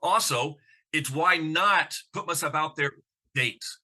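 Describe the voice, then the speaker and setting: Bright-voiced man who stutters. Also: fairly high pitch, slow. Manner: stutters with sound repetitions; emphatic rhetorical questions. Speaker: conference speaker. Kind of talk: motivational talk.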